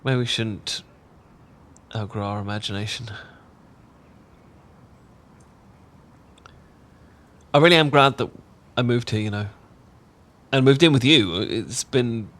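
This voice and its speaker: A British man playing a shy, tender roommate in a romantic ASMR audio roleplay, speaking in a gentle, halting, earnest way. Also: mid-range voice, natural voice. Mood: dreamy, fond. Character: shy, tender male roommate confessing love.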